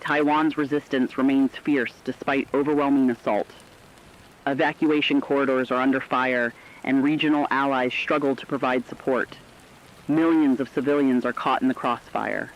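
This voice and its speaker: A man playing a grave radio correspondent, reading war news in a solemn, forceful, declamatory scripted style. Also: mid-range voice, fairly even intonation, moderate pace, highs cut off, close to an everyday voice. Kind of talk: scripted dramatic news broadcast.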